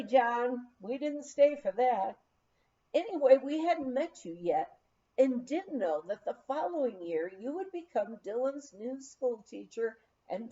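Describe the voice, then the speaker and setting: A woman reading a memoir aloud in an expressive, measured storytelling manner. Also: fairly high pitch. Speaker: audiobook reader. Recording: dull, lo-fi sound.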